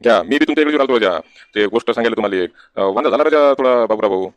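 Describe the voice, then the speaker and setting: A man's voice: mid-range voice, animated, rapid-fire, no echo, dull audio, lightly acted. A man voicing a wily, wheedling village debtor in a comic cartoon, with a rural Varhadi Marathi accent and questioning grunts tacked onto sentences. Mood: pleading, wheedling. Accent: rural Maharashtra, Varhadi. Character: wily village husband, evasive debtor sweet-talking the shopkeeper.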